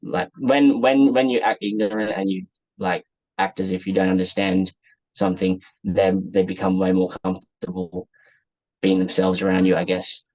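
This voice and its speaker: A man's voice: low-pitched, moderate pace, a little mellow, no echo. A young man, chatting easily as an interview guest, rambling with restarts, on a dull lo-fi recording. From Australia. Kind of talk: casual conversation.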